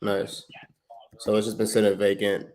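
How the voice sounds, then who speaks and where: A man from Trinidad, hosting a livestream and making a relaxed, friendly sales call to a property owner, full of slang and quick restarts. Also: lowish voice, low-quality recording. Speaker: real estate investor, livestream host. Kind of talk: casual livestream chat and sales phone call.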